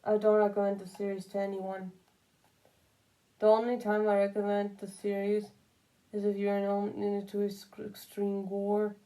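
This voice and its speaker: A woman's voice: mid-range voice, completely flat delivery, moderate pace, mellow, poor audio. A woman giving casual, unscripted opinion commentary, rambling and repeating phrases for emphasis. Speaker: commentary video creator.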